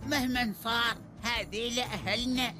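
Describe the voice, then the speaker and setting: A high-voiced stubborn old neighbor. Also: male voice, steady tone, moderate pace, over music. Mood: insistent. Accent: Emirati Arabic.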